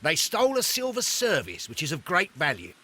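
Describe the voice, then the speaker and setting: An actor playing a deferential, matter-of-fact Victorian police inspector in a video game, with a husky voice. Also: male voice, fairly high pitch, wildly swooping pitch, brisk, bright, forceful tone, exaggerated voice. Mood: earnest, matter-of-fact. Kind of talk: scripted video game acting.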